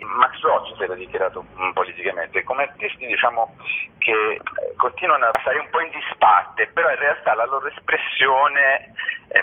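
A man's voice: sits low, slightly rough. A man, an author being interviewed, speaking casually in a hesitant, rambling, thoughtful way. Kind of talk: casual radio phone interview. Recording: muffled narrow-band telephone line.

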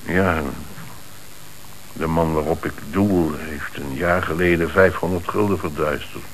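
An actor playing a hesitant, formal bank manager under questioning in a radio play. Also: male voice, a bass voice, steady tone, a little slow. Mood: earnest, hesitant. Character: troubled, lenient male bank manager under police questioning. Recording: hissy old radio play recording.

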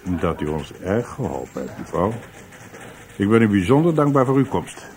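A dry, low-voiced sceptical police inspector. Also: male voice, very animated, normal speed, vocal fry, darkish tone. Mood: probing, sardonic. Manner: brusque questioning, sardonic, murmuring hums. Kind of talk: Dutch radio play acting.

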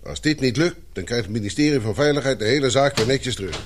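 A man playing a gruff, weary military commander with a dry, sardonic, clipped manner in a radio play. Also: mid-range voice, quick pace, a little hiss or hum, natural voice. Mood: weary, blunt.

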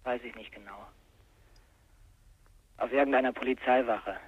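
A man's voice: mid-range voice, steady tone, moderate pace, slightly rough, no echo. A man playing a young caller in a radio drama, hesitant and subdued, heard through a telephone filter. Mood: subdued, tentative. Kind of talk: naturalistic radio drama acting. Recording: dull, dry telephone sound.

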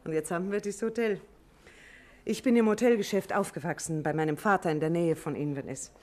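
A woman acts out a hotelier's wife in a vintage German radio drama. Her voice sits fairly low. She chats readily, stays careful about what she gives away and is eager to be of help. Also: fairly quick, darkish tone, natural voice. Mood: chatty. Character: talkative Scottish innkeeper's wife, guarded, helpful.

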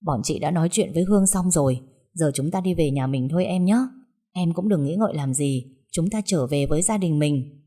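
A woman voicing a gentle younger sister who soothes and pleads with her family. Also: low voice, a little fast. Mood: pleading.

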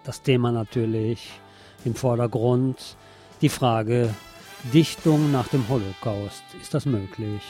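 A man's voice: medium pitch, takes it slowly, over music. A man presenting a recorded reading, speaking informally as in a radio-style report.

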